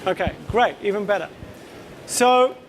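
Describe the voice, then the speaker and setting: A man's voice: high-pitched, expressive, a little slow, a little hiss or hum. A man in his thirties with an Australian accent, giving a casual, joking conference talk as a company co-founder and quizzing the room. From Israel. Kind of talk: conference presentation to a live audience.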